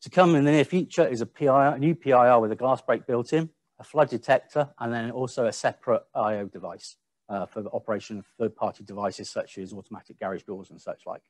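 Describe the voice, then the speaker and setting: A man giving a webinar-style product presentation, speaking a little haltingly and repeating the odd word. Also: mid-range voice, no echo.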